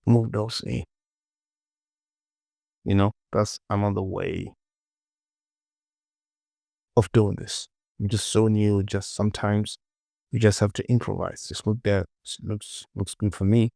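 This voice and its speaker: A man giving a casual software tutorial, talking through his work in short, informal instructions as he goes. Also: fairly low pitch, fairly even intonation, slow pace, creaky, pauses often, no room sound, clean studio sound. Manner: informal, muttered short instructions to self and viewer. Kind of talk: casual screen-recorded software tutorial, talking while working.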